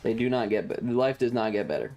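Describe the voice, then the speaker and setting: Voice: medium pitch, lively intonation, quick pace, a little mellow. A streamer giving casual, sarcastic game commentary to chat, playfully crude and full of swearing. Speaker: streamer, host. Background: faint game music.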